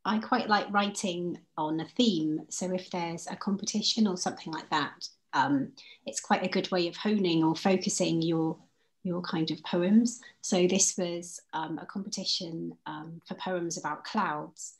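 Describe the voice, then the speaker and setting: Lower-pitched woman, reflective poet. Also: measured pace, rough recording. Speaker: poet answering questions. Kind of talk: reflective informal talk. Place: indoors at home, on a group video call. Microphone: video call.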